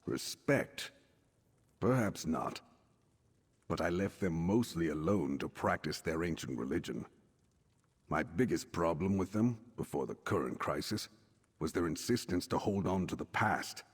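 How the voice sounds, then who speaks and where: An actor playing a proud Breton nobleman in a video game, speaking in a measured, formal, reflective way. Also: low voice, expressive, breathy edge, big-room sound, heavily acted.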